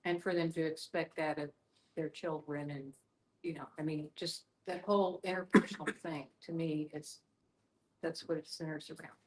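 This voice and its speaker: Woman with a pretty low voice just chatting in a group. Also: steady tone, normal speed, low-quality recording. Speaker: discussion participant. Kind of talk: casual group discussion.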